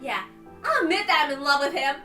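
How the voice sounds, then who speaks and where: A woman voicing a furious, lovestruck teenage girl defying her elders, strained and shouting in a dramatic visual novel reading. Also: high-pitched, animated, brisk, echoey room, faint music behind, voice pushed up. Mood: impassioned, defiant.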